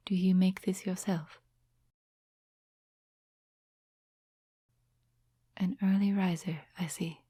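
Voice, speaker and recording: A woman playing a composed, courteous, quietly mysterious witch in a soft-spoken ASMR fantasy roleplay, with a whispery, breathy voice and gentle, formal, unhurried phrasing. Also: fairly low pitch, no room sound, clean studio sound. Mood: content, curious.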